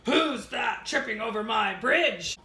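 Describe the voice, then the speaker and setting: A woman's voice: fairly high pitch, expressive, slow, bright, forceful tone, echoey room, exaggerated voice. A woman voicing a mean old troll, blustering and menacing in a storybook reading. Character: mean old troll under a bridge, greedy and gruff.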